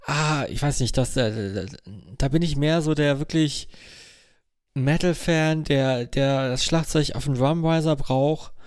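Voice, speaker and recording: A man in casual podcast chat, haltingly repeating words as he searches for phrasing. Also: on the high side, darkish tone, clear, resonant tone, no echo, clean studio sound. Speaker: podcast co-host.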